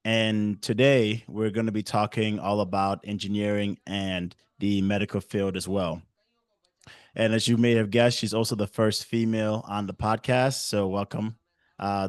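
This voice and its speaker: A man's voice: lowish voice, no room sound, clean studio sound. A man hosting a casual interview, talking loosely with many fillers and restarts. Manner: filler-heavy, restarts often. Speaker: host.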